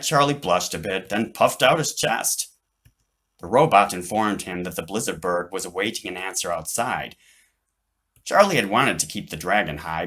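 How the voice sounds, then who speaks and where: A man reading his own children's book aloud as an animated narrator. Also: sits low.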